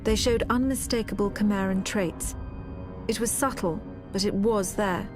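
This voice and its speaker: A woman playing a serious military officer who recounts a war in measured, matter-of-fact game narration. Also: medium pitch, music in the background, close to an everyday voice. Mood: grave, detached. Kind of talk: scripted cinematic game narration.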